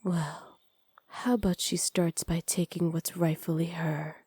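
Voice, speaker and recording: A woman playing a seductive, teasing witch in an intimate ASMR roleplay, sly and possessive, often slipping into breathy whispers close to the microphone. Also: on the low side, steady tone, a little mellow, no room sound. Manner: slow, breathy, often whispered, flirtatious purr. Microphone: close studio microphone.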